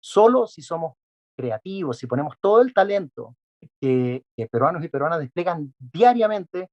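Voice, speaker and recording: A man's voice: fairly high pitch, big pitch swings, moderate pace, a little mellow, muffled recording. A man, an education specialist, answering interview questions methodically, listing his points with frequent fillers. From not Peruvian.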